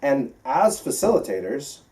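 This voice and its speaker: A man's voice: mid-range voice, big pitch swings, a little slow, warm tone. An American man working as a flight instructor, giving an informal, conversational lesson with emphatic points and frequent check-in questions, picked up by a distant mic. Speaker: flight instructor teaching pilots. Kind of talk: informal classroom lecture with questions.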